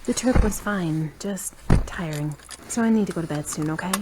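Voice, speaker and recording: A woman's voice: on the low side, moderate pace, background noise, lightly acted. A woman playing a frank, caring friend with a conversational, matter-of-fact way of talking, in an audio drama. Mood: tired, casual. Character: frank, caring woman, tired but gently teasing. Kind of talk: hobby audio drama acting.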